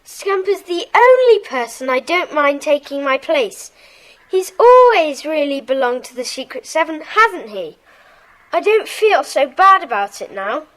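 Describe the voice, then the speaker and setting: Earnest schoolboy played by a woman, very high voice, polite and regretful, for children's audio drama. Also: very animated, a little slow, a bit bright, exaggerated voice, low-quality recording. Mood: accepting. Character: earnest, regretful schoolboy forced to leave his club.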